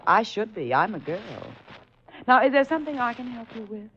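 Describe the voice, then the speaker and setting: A woman playing a sassy, coy young nurse in a 1940s radio drama, arch and quick-witted. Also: fairly high pitch, big pitch swings, slight background noise. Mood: cool, arch. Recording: muffled 1940s radio, music behind.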